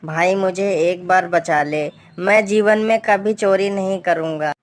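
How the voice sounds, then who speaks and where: A man's voice: high-pitched, steady tone, a little slow, over music. A performer voicing a simple, timid male thief in a Hindi moral-story cartoon, anxious and pleading. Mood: frightened, pleading.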